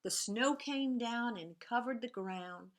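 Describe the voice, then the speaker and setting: A woman reading picture books aloud to young children in an expressive, sing-song way, sometimes hushed, pausing to point things out to her listeners. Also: on the high side, a little hiss or hum. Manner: animated and sing-song, drops to hushed, whispery repeated sound words.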